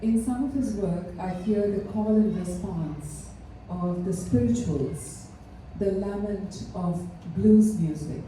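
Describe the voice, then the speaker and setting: A woman from India giving an earnest, measured tribute speech, pausing often with fillers. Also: medium pitch, mellow. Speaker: ceremony speaker. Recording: echoey hall, mic far off, faint noise.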